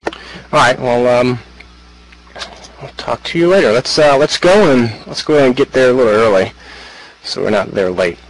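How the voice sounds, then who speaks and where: A man's voice: medium pitch, normal speed, pauses often, lightly acted, rough recording. A man playing a father about to go out for the night, who talks hesitantly and rambles with lots of fillers. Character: easygoing dad heading out for the night. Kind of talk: amateur skit acting.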